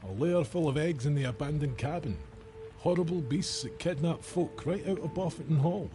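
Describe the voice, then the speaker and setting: A man's voice: fairly high pitch, moderate pace, mellow, faint music behind, close to an everyday voice. A man playing an old, folksy and evasive estate gamekeeper in a video game, speaking in a wry, rustic storytelling manner. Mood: wry disbelief. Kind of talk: scripted video game character dialogue.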